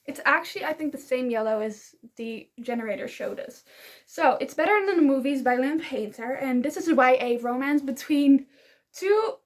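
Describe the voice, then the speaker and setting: High-voiced Dutch woman gushing over books. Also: animated, moderate pace. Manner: gushing, rambling, giggly. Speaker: book vlogger. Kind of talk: casual book review vlog.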